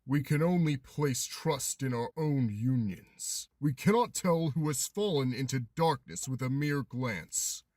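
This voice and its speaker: A man's voice: mid-range voice, a little slow, few pauses, a little mellow, no room sound, natural voice. A man playing a ruthless, power-hungry Keyblade master in a game fandub, speaking in a solemn, commanding manner. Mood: stern, challenging. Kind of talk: anime-style game fandub acting.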